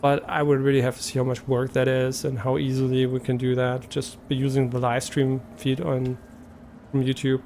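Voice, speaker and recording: A man's voice: medium pitch, completely flat delivery, moderate pace, darkish tone, a little hiss or hum. A man from Germany, a native German speaker with a German accent, chatting casually and a bit hesitantly to viewers as a live streamer, thinking aloud as he goes.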